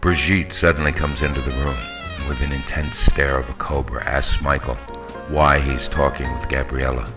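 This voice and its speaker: A man with an extremely low voice narrates literary fiction in the manner of an audiobook. The reading is scripted prose, and music plays clearly behind the voice. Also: fairly even intonation, moderate pace.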